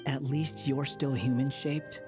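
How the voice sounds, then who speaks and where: A woman's voice: very deep voice, fairly even intonation, a little slow, music in the background. A woman voicing a cool, skeptical young male wanderer in a video game, with a dry, aloof manner. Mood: dryly impatient.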